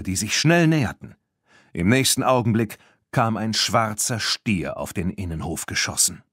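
Low-pitched, expressive male audiobook narrator. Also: no echo, studio quality. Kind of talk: expressive scripted children's story narration.

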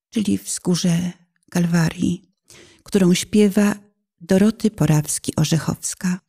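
Low, mellow woman narrating solemnly. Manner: solemn, measured, deliberate phrasing. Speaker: author and narrator. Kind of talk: scripted narration of a personal testimony.

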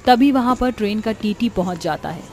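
A woman's voice: mid-range voice, a little fast, warm tone, faint music behind. A woman narrating a scripted story as a narrator.

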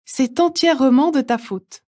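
Brief: female lesson reader, mellow and slightly high, careful clear phrasing. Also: measured pace. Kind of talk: slow repeated phrase reading.

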